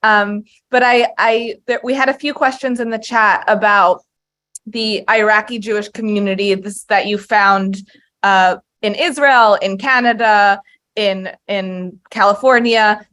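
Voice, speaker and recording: A woman's voice: medium pitch, fairly even intonation, measured pace, a bit bright. A woman moderating a webinar over a video call, asking questions with hesitant fillers. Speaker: host.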